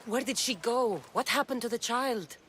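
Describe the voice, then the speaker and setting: An actress playing a blunt, sardonic young mercenary warrior of ancient Greece in a dramatic video game, questioning people bluntly. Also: female voice, fairly high pitch, moderate pace, slight background noise, natural voice. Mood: probing. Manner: blunt, probing. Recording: loud music behind the voice.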